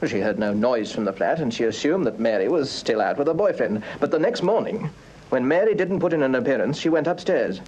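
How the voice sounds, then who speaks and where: British male inspector, husky and businesslike, methodical reporter of facts, detective radio drama. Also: medium pitch, expressive, fairly quick, darkish tone, poor audio. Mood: factual, methodical. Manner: matter-of-fact, methodical reporting. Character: brisk, businesslike male police inspector, deferential to his chief. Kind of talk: full-cast radio crime drama acting.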